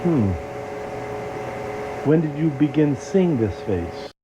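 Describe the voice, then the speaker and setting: A man's voice: fairly high pitch, very animated. A man playing a polite, hesitant interviewer questioning a patient in a found-footage horror piece, on a muffled, noisy recording. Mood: calm, probing. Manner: hesitant, courteous, measured questioning. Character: polite, probing male interviewer of a paralysed patient. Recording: muffled lo-fi tape with loud noise.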